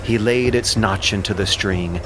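A man's voice: lowish voice, measured pace, poor audio. A man narrating an ancient epic as a scripted audio drama, solemn and declamatory.

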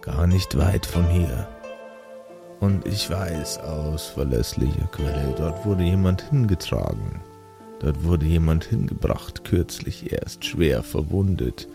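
A gaunt, monstrous healer in a tabletop audio play. The voice sinks very low and gravelly. He mutters in short, shady phrases and repeats himself, with music underneath. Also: animated, slow pace, heavily acted. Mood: shady, muttering. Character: long, gaunt, monstrous male healer cleric, shady and laconic.

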